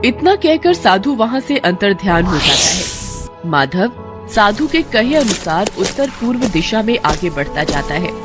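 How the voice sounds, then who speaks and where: An expressive woman tells a children's story in a scripted storybook manner. Her pitch rises and falls with plenty of life. Music plays underneath. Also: measured pace. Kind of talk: scripted narration of a kids story. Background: background music.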